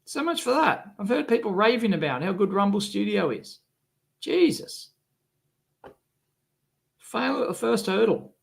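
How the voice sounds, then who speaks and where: A man with a cold talks loosely on a live stream, his rough, sick-sounding voice swinging widely in pitch. He is exasperated and grumbling, and he swears freely at what annoys him. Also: very animated, measured pace, long pauses. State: has a cold, coughing. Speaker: electronics video host. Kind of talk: casual live stream chat.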